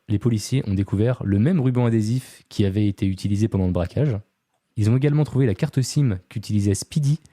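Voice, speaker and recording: Guy hosting a podcast, deep mellow voice, dry close sound, chatty story with lots of uh's. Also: husky, dry recording. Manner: relaxed, chatty, frequent fillers and asides to a co-host. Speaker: podcast host. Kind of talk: casual true-crime storytelling.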